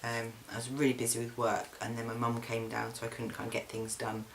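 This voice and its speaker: Young man, monotone, mid pitch, chatty and halting with ums, home video diary, faint hiss. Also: vocal fry. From England. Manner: chatty, frequent ums and restarts. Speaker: vlogger. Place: flat, indoors.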